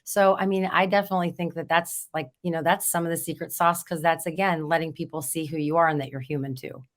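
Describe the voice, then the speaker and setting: Hoarse woman, lowish voice, chatty storyteller, casual interview guest. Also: fairly even intonation, fast. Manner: chatty, storytelling, laughs easily. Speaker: real estate agent, podcast guest.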